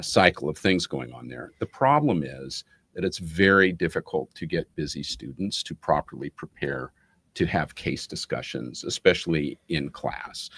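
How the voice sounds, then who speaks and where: Very deep-voiced professorial man. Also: no echo. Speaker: interview guest, business school academic. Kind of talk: explanatory conversational interview answer.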